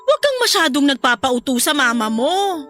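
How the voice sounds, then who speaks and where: A woman playing a protective, loving, excitable mother in a Filipino audio drama, speaking emphatically and emotionally. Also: sits high, normal speed. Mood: admonishing. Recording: music under the voice.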